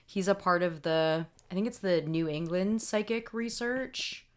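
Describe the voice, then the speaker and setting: A woman's voice: on the low side, unhurried. A young woman co-hosting a podcast, chatting casually and laughing easily. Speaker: podcast co-host. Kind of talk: casual chat.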